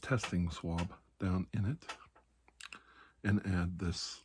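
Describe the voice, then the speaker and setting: A man playing a calm, reassuring doctor in an ASMR roleplay, speaking softly and slowly with pauses, often near a whisper, in a breathy, husky voice. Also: deep voice, a little hiss or hum. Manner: slow, calming, deliberate with long pauses. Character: calm, reassuring older military doctor treating alien infection.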